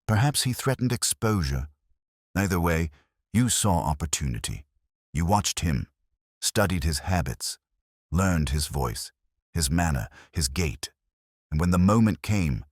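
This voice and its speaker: A male narrator acts out a cool, incisive Victorian detective from a storybook mystery. His voice is very low, yet it rises and falls in animated sweeps. He speaks formally and deliberately, as one who commands the room. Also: no room sound, studio quality. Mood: accusing. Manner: deliberate, formal, accusatory speech.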